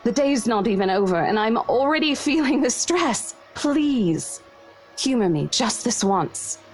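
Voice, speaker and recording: A woman's voice: a little high, animated, unhurried, darkish tone. A young woman voicing a caring, level-headed young real estate agent in a game, speaking in a coaxing, reassuring way. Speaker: character in a visual novel. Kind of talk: game voice acting. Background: faint game music.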